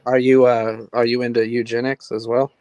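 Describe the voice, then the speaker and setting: A man's voice: mid-range voice, level tone, normal speed, a little mellow, clean tone, no echo. A man chatting casually on a livestream panel in an informal, teasing way. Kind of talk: casual chat.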